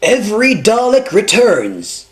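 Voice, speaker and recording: Menacing robot alien, high-pitched, drawn-out slow words, declaiming, fan parody cartoon. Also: male voice, huge pitch swings, exaggerated voice.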